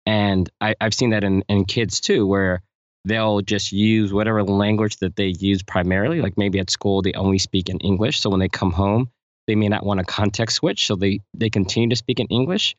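A man's voice: fairly low pitch, steady tone, moderate pace, no echo. A man co-hosting a podcast, reflective, explaining points through personal anecdotes in casual panel chat. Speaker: podcast co-host. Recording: dry, dull lo-fi audio.

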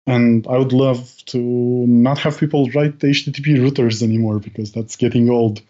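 Hesitant man, mellow, dull lo-fi sound. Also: mid-range voice, steady tone, moderate pace. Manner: hesitant, many fillers and restarts. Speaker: podcast guest. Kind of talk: casual podcast conversation.

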